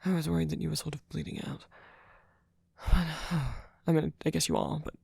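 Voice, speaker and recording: A man playing a tender, fretful vampire boyfriend in an ASMR roleplay, speaking softly and hesitantly, often close to a whisper. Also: a little high, normal speed, no echo, full character voice. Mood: flustered, apologetic. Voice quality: breathy, often near whisper. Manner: soft-spoken, hesitant, stammering, tender. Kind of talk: ASMR roleplay audio drama.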